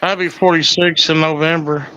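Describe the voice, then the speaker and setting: A man's voice: a little high, level tone, normal speed, pushed and bright, slight background noise, low-quality recording. A man in his forties from Kentucky, chatting casually as a guest on a video call and asking lots of questions. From Kentucky, USA. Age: 40s. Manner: loose and chatty, asks questions. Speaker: livestream guest. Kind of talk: casual chat.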